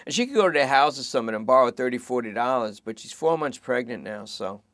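A whining, rambling junkie thief under arrest, as a man reads him aloud, pitched well above the reader's usual voice. He pleads fast and nervously and keeps talking over himself. The sound is dry and close, with no room around it. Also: lively intonation, fairly bright tone, no room sound, lightly acted. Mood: pleading. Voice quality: pleading, rambling and self-pitying. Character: whiny petty-thief junkie man in custody.

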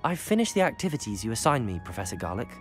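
A man playing a courteous, persuasive teenage student wizard, speaking in a measured way in a video game cutscene. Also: fairly low pitch, sing-song, faint music behind. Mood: polite.

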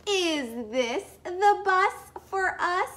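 A woman reading a picture book aloud as an eager little girl, asking in a drawn-out singsong. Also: very high voice, lively intonation, fairly bright tone, slight background noise, voice pushed up, heavily acted. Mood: eager, curious.

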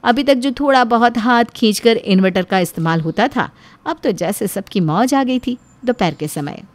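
A woman's voice: on the high side, expressive, normal speed, no echo. A woman narrator reading a scripted family story.

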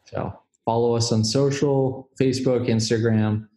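A man chatting as a podcast guest over a video call, relaxed and agreeable. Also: mid-range voice, measured pace. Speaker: film producer, guest. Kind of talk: casual chat. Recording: roomy echo.

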